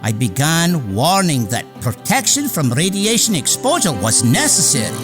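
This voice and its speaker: A courteous, proud inventor in a radio play. His delivery is formal and old-fashioned, swinging widely in pitch, with music playing behind him. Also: huge pitch swings, moderate pace. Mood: proud, reflective. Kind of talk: radio theater drama acting.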